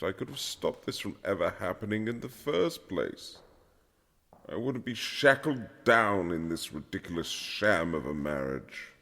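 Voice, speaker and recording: Man performing a reluctant aristocratic husband, extremely low gravelly voice, formal and sardonic, period romance roleplay. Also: fairly bright tone, slight background noise, heavily acted. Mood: bitter, sardonic. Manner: formal, dry, teasing, measured aristocratic phrasing. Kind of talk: romantic period-drama ASMR roleplay, scripted.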